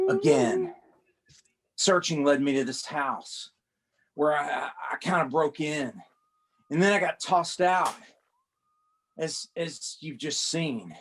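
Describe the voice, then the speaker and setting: A man's voice: fairly high pitch, gravelly, slight background noise, full character voice. A man reading a comic aloud as a young male rookie cop, narrating his troubles in a plain conversational first-person way.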